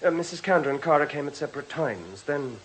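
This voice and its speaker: An actor playing a highly strung, bitter young drug addict, halting and defensive, in a radio drama. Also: male voice, animated, fairly bright tone, a little hiss or hum, low-quality recording. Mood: anguished.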